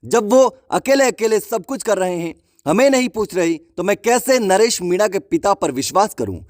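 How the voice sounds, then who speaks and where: High-pitched man presenting news commentary. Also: lively intonation, normal speed, no echo, studio quality. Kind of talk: online news commentary narration.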